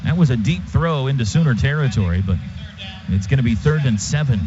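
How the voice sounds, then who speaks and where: A man calling live football play-by-play on radio, with an excited running description of the action. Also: medium pitch, a little fast. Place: stadium radio booth. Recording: dull radio sound over loud stadium noise. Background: loud crowd and stadium noise.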